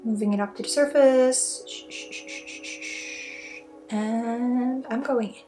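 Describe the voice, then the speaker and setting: A woman with a Swedish accent leading an ASMR energy healing session, soft-spoken with pauses and often dropping into whispers. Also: a little high, steady tone. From Sweden. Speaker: ASMR energy healer and spiritual guide. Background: music.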